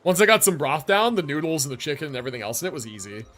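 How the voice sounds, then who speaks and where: A young man chats casually on a game livestream. His voice is a bit high and very lively in pitch, with slangy, sweary phrasing. Faint music plays behind him. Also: rapid-fire. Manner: slangy, profane, chatty. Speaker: game streamer.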